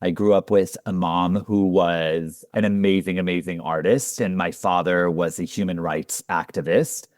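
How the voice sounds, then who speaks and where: A middle-aged man from Israel, telling his life story in a relaxed, affectionate way as a podcast guest. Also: low voice, moderate pace, hardly any pauses, dry recording, studio quality. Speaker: guest hairstylist. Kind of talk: casual interview talk.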